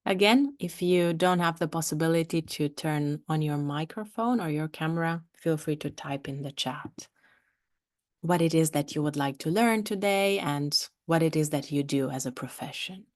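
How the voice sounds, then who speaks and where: A woman from Romania, a coach and trainer, speaking in an inviting, measured way as she leads a video-call webinar. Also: sits low. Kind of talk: live online workshop talk.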